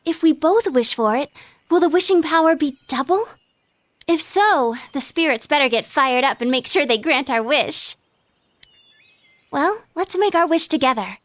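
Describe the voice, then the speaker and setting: High-voiced, coy teen idol girl. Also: animated, moderate pace, no echo. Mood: hopeful. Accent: American. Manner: cutesy, coy, playful. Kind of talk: anime video game dub acting.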